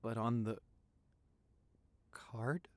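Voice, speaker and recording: A man playing a loving, sentimental vampire boyfriend in a romantic audio roleplay, speaking tenderly and haltingly with open emotion. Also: medium pitch, steady tone, darkish tone, no room sound. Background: background music.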